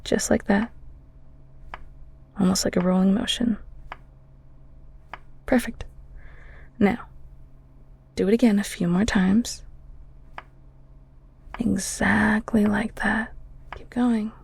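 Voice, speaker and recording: Woman playing a husky, creaky-voiced flirty ghoul chef. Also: mid-range voice, fairly even intonation, full character voice. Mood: teasing, bossy. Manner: soft, breathy, halting, teasing. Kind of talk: intimate audio roleplay, spoken to the listener.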